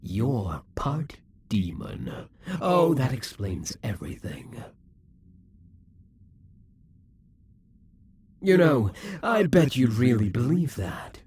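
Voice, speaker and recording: A possessive demon king who schemes and broods, with a man doing the voice. He speaks to the listener slowly, in a husky, breathy tone. His pitch swings widely as he monologues for an audio roleplay. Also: big pitch swings, a little mellow, full character voice. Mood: sly.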